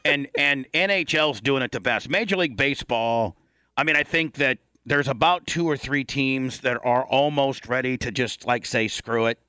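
Bright, punchy male talk show host. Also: medium pitch, normal speed, clear, resonant tone, dry recording. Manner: blunt, joking, exaggerating. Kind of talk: casual sports talk banter.

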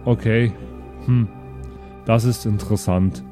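A man voicing a naive, blunt, childlike oddball with a deadpan, literal-minded way of talking in an improvised audio play. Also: lowish voice, slow pace, over music, pitched below the performer's own voice. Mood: naively curious.

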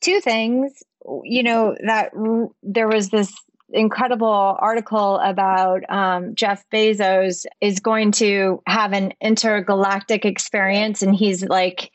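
A woman's voice: medium pitch, level tone, slow, no room sound. A woman in casual conversation, musing aloud and repeating words as she gathers her thoughts.

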